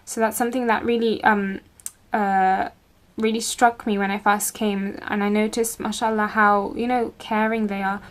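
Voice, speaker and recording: A young woman, recording a casual video account at home, talking in a measured way with frequent ums. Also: mid-range voice, little pitch movement. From England. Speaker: contributor recording a video report. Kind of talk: casual first-person account. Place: home room with bookshelves.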